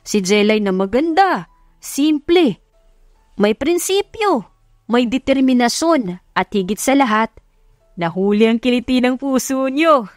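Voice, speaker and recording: A woman's voice: fairly high pitch, animated, measured pace, no room sound, clean studio sound, faint music behind. A woman voicing a cheeky male assistant who banters playfully with his boss in an audio love story. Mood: cheeky, blunt.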